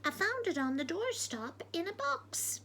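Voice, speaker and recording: Woman doing a quiet, hushed male burglar. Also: extremely high pitch, very animated, a little slow, slight background noise, pitched above the performer's own voice, heavily acted. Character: mild-mannered male burglar.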